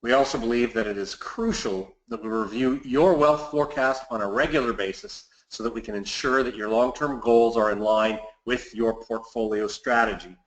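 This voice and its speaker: A man's voice: mid-range voice, moderate pace, hardly any pauses. Man presenting market commentary in echoey room. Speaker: financial advisor hosting a client webinar. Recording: roomy, echoey sound.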